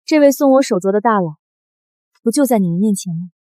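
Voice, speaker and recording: A young actress playing a wronged, defiant eighteen-year-old daughter, proud and resolute, with pointed delivery. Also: female voice, mid-range voice, expressive, fast, warm tone, no echo, natural voice. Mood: cool, triumphant. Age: young adult. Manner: pointed, challenging. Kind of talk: short-drama acting.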